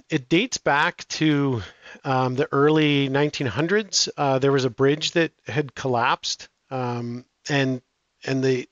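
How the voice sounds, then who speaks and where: A middle-aged man answering interview questions in a relaxed, halting way, with many restarts and fillers. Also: mid-range voice, no echo, dull audio. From Canada. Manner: hesitant, frequent restarts and fillers. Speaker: guest.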